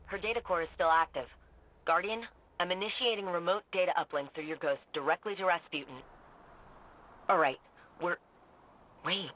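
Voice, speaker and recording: An actress playing an earnest, curious scientist and ally in a video game, speaking in an intent, natural, conversational way. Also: female voice, fairly low pitch, moderate pace, long pauses, fairly bright tone, a little hiss or hum, close to an everyday voice, poor audio. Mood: focused, uneasy. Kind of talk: dramatic game dialogue.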